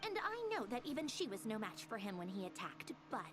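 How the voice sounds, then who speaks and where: An actress playing a sharp, proud young researcher in a video game, matter-of-fact and slightly impatient. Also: female voice, medium pitch, very animated, brisk, over music, lightly acted. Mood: grave, urgent.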